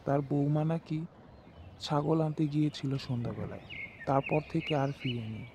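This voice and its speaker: A man's voice: on the high side, normal speed, close to an everyday voice. A man playing an anxious, earnest villager in a cartoon folk tale. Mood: worried. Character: worried village man seeking help. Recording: clear noise, outdoor birds behind.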